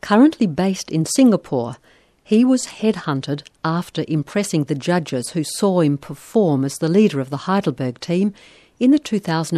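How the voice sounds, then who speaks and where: A woman's voice: low voice, dark tone, dry recording. A woman hosting a radio interview, introducing her guest and asking long, articulate questions. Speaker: radio host.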